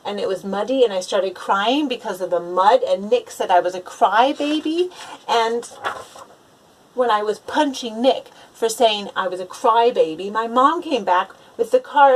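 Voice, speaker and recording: A woman's voice: a little high, natural voice, rough recording. A woman voicing a grumbling young boy in a children's storybook, complaining emphatically with words spaced out. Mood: aggrieved. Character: grumbling, aggrieved young boy narrating his awful day. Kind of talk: children's storybook read-aloud.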